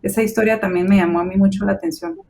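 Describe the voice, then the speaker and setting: A woman's voice: medium pitch, big pitch swings, very fast, gravelly, a little mellow. A woman speaking reflectively as a guest in a television talk-show discussion, often restarting her phrases. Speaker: panel guest.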